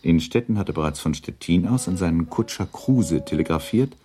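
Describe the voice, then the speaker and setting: Low-pitched male radio play narrator. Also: lively intonation, moderate pace, warm tone.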